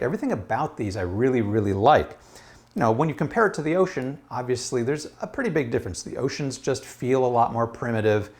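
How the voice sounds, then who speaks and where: A man giving a casual product review in a conversational, emphatic way. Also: mid-range voice.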